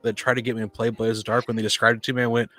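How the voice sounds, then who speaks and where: A man's voice: mid-range voice, flat delivery, very fast, pushed and bright, soft background music. A man in a casual podcast discussion, blunt and opinionated, often stumbling and repeating his words. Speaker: podcast panelist. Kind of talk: casual opinionated discussion of tabletop roleplaying games.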